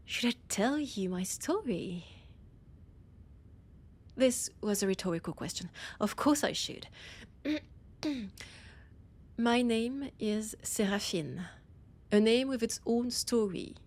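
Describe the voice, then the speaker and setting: A woman playing a possessive vampire scholar with a French accent, in a theatrical, breathy audio roleplay monologue with dramatic pauses. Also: a little high, lively intonation, a little mellow. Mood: haughty. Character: annoyed, possessive female vampire scholar, ancient library guide.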